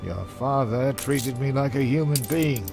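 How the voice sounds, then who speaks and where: An actor playing a proud, bitter demolition engineer in a video game, speaking in a gruff, deliberate way. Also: male voice, medium pitch, music in the background.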